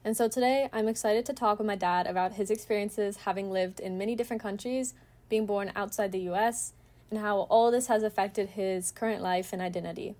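A woman's voice: mid-range voice, steady tone, fairly quick, darkish tone. Student host: young woman, American accent, mid-range voice, polite and scripted-sounding interview delivery. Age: young adult. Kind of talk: prepared interview questions.

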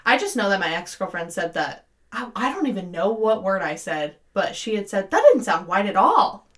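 Young woman podcast co-host, bright and animated, laughs a lot. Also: medium pitch, normal speed. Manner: laughs often, animated storytelling. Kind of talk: casual chat.

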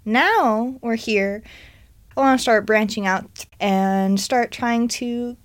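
A woman's voice: a little high, takes it slowly, no echo. A woman in her twenties, an interview guest, talking casually and unhurriedly about her goals. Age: 20s. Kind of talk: casual conversation.

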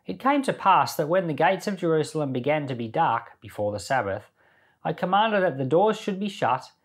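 A man's voice: fairly high pitch, sing-song, normal speed. A man hosting a Bible podcast, reading scripture expressively and with emphasis, then commenting on it informally. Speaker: Bible podcast host and reader.

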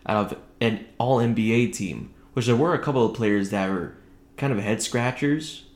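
A man hosting a casual solo sports podcast, talking conversationally and keeping his voice down as he records at home. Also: normal speed. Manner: conversational, keeping voice low late at night. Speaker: podcast host. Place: indoors at home, echoey room. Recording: roomy, echoing home recording.

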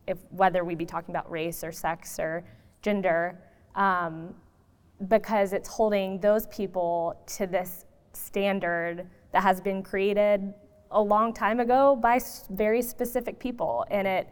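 A woman's voice: mid-range voice, steady tone, unhurried, clean tone. A woman speaking as a discussion guest, reflecting earnestly and conversationally on her views. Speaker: podcast guest.

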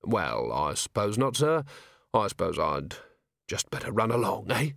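A man voicing a gruff, sardonic, middle-aged police commander in an audiobook, with a clipped, commanding manner. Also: lowish voice, very animated, unhurried, a bit bright, no echo, clean studio sound, heavily acted. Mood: resentful. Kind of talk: audiobook character acting.

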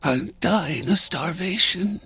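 A man's voice: animated, slow pace, pushed and bright, exaggerated voice. A man voicing a weak, starving woman in a story, gasping faintly and without hope. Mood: weak despair. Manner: faint, gasping, high and breathy. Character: weak, starving, hopeless woman trapped inside a giant.

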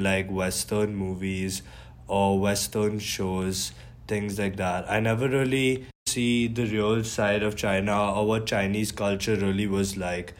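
A young man from India, a college student co-hosting a podcast, explaining things earnestly with a few ums. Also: on the low side. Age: young adult. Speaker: podcast co-host. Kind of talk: casual podcast talk.

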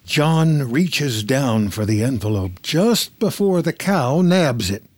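A man with a husky voice reading scripted, present-tense screenplay narration as a storyteller. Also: medium pitch, expressive, takes it slowly.

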